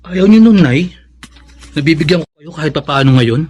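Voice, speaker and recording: Higher-voiced, stubborn Filipino husband. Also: male voice, expressive, normal speed, a little mellow. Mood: defensive, earnest. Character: stubborn, ambitious schoolteacher husband, father and son. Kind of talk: radio drama acting.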